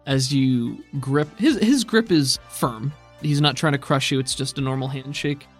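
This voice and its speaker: A man running a tabletop game who paints each scene in detail and breaks into easy laughter. He talks at an average pitch. Soft music plays beneath his voice. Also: measured pace. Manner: descriptive, laughs easily. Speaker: game master. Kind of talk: narrating a tabletop role-playing game.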